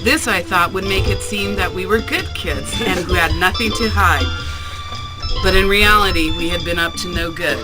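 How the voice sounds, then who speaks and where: A woman's voice: on the low side, moderate pace, poor audio. A woman teacher reading a personal essay aloud in a classroom, with an amused manner. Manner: amused, often breaking into laughter. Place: school classroom. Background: music.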